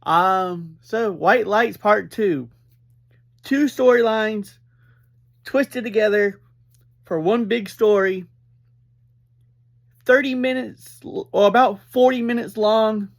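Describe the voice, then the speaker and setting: Male review host, higher-pitched voice, unhurried and halting, emphatic, upbeat, prone to tangents. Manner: halting, easily sidetracked, emphatic; upbeat, energetic. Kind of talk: casual rambling episode review.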